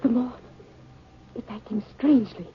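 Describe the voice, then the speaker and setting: High-voiced, terrified young wife played by an actress. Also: female voice, a little slow, lightly acted. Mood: tense, revolted. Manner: breathless, tremulous, melodramatic. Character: terrified young wife trapped with giant insects. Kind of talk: 1950s radio drama acting. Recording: muffled old 1950 radio recording.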